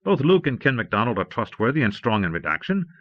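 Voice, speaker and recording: A man's voice: mid-range voice, very animated, quick pace, dry recording, close to an everyday voice. A man reading an audiobook, voicing a haggard, self-doubting man who argues in an agitated, pleading, insistent way. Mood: agitated, stubborn. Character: haggard, anxious, self-doubting man refusing his brother. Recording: muffled old cassette audiobook transfer.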